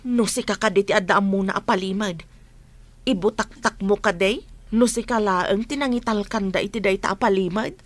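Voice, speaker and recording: A woman's voice: mid-range voice, normal speed, dry recording, natural voice. A woman acting a troubled mother figure who is keeping a secret in a Filipino radio drama. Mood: anguished.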